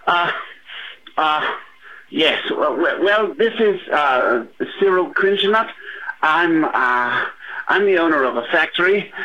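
A man's voice: on the high side, bright, forceful tone, exaggerated voice. Stammering, flustered factory owner played by a man, muffled. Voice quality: stammering, hesitant. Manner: nervous chuckling, dithering. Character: flustered, evasive, guilty cocoa factory owner. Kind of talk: audio fiction voicemail monologue. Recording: muffled noisy phone voicemail.

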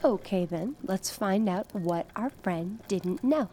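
A woman playing a wry, knowing veteran conspirator in an audio drama, speaking in a measured way. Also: mid-range voice, lively intonation, mellow, slight background noise. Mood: knowing, calm.

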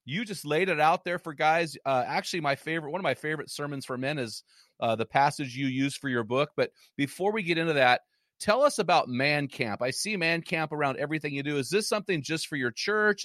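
A man hosting an interview, casual and enthusiastic, with quick laughs. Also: on the high side, a bit bright, dry recording, studio quality. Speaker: interview host.

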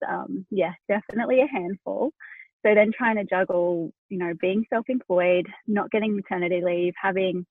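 A woman's voice: mid-range voice, breathy edge, dry recording, narrow, tinny audio. A pregnant Australian woman in her thirties, a wedding photographer, chatting as a podcast guest with lots of fillers and restarts. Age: 30s. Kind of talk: casual interview chat.

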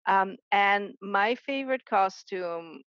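A woman's voice: mid-range voice, no room sound, muffled recording. A woman from the Netherlands, a guest artist chatting casually in an interview, full of restarts and fillers. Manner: chatty, many restarts and fillers, quick laughs.

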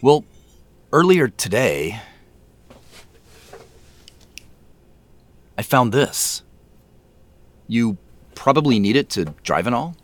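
Hesitant man in his thirties, swooping pitch. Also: sing-song, unhurried. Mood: careful, concerned. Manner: hesitant, self-deprecating. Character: awkward, modest late-thirties man running a tech company. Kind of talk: audio drama dinner dialogue.

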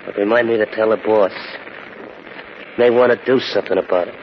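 A man's voice: fairly low pitch, steady tone, brisk, natural voice. An actor playing a tough, suspicious gangster henchman who talks in slang, in 1940s radio style. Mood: wary, menacing. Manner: slangy, tough-guy talk. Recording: muffled, noisy old radio recording.

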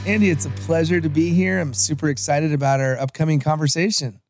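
A man's voice: on the high side, steady tone, brisk, music in the background. A man in his forties from Los Angeles, a podcast guest telling his career story in an upbeat, conversational way with frequent restarts. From Los Angeles, USA. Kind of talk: conversational interview.

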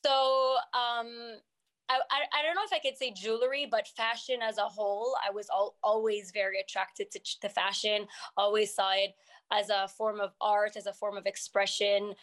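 A woman's voice: mid-range voice, level tone, moderate pace, fairly bright tone. A young woman from Montreal with a French Canadian accent and French as her first language, chatting as an interview guest with many ums and restarts. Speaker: guest entrepreneur. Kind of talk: casual interview chat.

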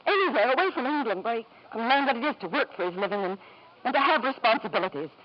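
A woman's voice: fairly high pitch, expressive, quick pace, muffled recording. An actress playing a bitter, weary wife and anxious mother in a 1950s radio drama, formal and emotional, on an old broadcast recording. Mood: anxious, resolute.

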